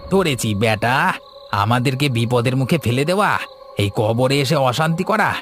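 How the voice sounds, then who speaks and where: A man voicing an excitable village shopkeeper in a cartoon story. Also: mid-range voice, normal speed, faint music behind, natural voice. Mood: indignant.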